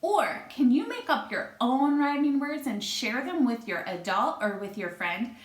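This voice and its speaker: A woman teacher reads aloud to small children at a very leisurely pace. Her voice sits a little high, and she spaces out phrases expressively. The room is noticeably echoey. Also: big pitch swings, unhurried. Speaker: first-grade teacher. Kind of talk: read-aloud of rhyming storybook for young children.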